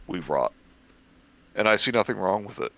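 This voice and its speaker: A man reading a first-person horror story aloud in measured narration, stumbling over the occasional word. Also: fairly low pitch, sing-song, creaky, pushed and bright, no echo, dull audio.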